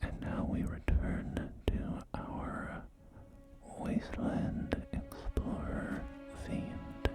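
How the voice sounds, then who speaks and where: A performer voicing a polite, eager post-apocalyptic real estate agent in a whispered ASMR roleplay, with courteous, hesitant sales patter. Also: very slow. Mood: gently enthusiastic. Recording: loud music bed under close whispering. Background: music and game audio.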